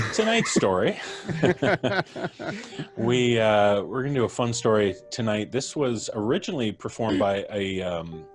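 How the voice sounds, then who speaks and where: A man hosts a live stream, chatty and full of jokes as he brings on each guest. His voice sits on the low side, and faint music plays in the background. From Canada. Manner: jokey, chatty, introducing guests with laughs. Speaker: host. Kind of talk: casual livestream chat.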